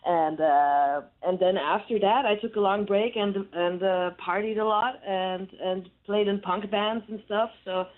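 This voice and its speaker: A woman, a German native speaker and professional wrestler, chatting as a guest in an animated, playful way with laughs. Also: mid-range voice, a little slow, few pauses. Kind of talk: casual phone interview. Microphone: phone. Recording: tinny phone line.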